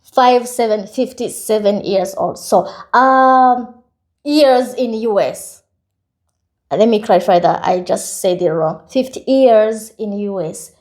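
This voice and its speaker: A woman's voice: medium pitch, slow, darkish tone. A woman hosting a podcast in a casual, conversational way, upbeat and welcoming to her guest. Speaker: podcast host.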